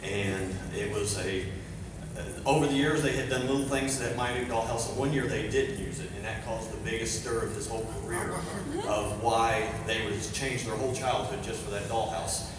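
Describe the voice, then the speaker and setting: A man giving an informal, rambling award speech on stage with a halting delivery. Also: on the low side, steady tone. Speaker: award presenter at an induction ceremony. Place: large convention center hall, live event. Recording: echoey noisy hall, distant sound.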